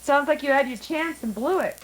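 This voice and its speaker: A woman's voice: sits high, lively intonation, brisk, a little hiss or hum, low-quality recording. An actress playing a guarded woman in her thirties with a dry, sardonic way of talking. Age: 30s.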